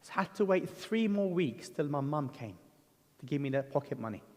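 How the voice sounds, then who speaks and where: A man's voice: fairly high pitch, expressive, a little fast, darkish tone. Man preaching in an echoing church. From Lebanon. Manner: earnest, conversational storytelling with frequent ums and restarts. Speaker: guest preacher. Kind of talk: sermon with personal testimony. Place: church hall. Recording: church hall reverb.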